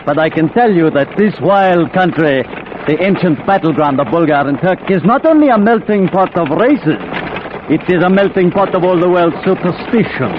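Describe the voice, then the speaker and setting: Urbane, lively gentleman from Prague. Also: sits high, normal speed. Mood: knowing. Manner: formal, wry, worldly. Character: urbane, knowing Prague gentleman travelling by coach. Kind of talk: 1940s radio acting. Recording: muffled, noisy 1940s radio recording.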